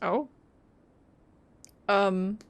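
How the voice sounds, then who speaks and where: A woman's voice: medium pitch, drawn-out words, gravelly, clear, resonant tone. A woman streaming a video game, reacting casually in short conversational asides. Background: game music.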